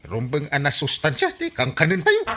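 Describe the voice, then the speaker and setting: An actor playing an earnest, persuasive village official in a radio comedy drama. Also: male voice, a little high, wildly swooping pitch, normal speed, music in the background. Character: earnest village captain urging reform.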